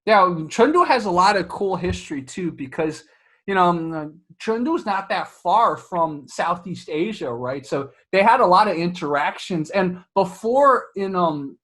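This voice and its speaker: A man from Southwest China hosts a casual interview in a high, slightly husky voice. He chats freely, laughs easily and restarts his sentences with plenty of fillers. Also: expressive, a little slow, a bit bright. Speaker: podcast host.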